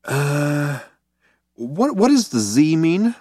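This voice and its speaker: A man voicing a vain, insecure, cocky spaceman in an audiobook, excitable and acting rather slow on the uptake. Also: fairly high pitch, slightly rough, dry recording, clean studio sound, pitched above the performer's own voice, exaggerated voice. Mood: cocky, dim. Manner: cocky, excitable, drawn-out bursts. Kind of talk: audiobook character voice.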